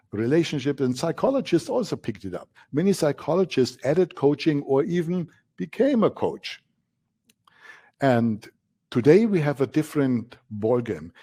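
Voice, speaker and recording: German-accented man with a mellow voice. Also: medium pitch, slightly rough. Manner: emphatic, repeats key words, frequent fillers. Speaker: coaching instructor. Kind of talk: masterclass presentation.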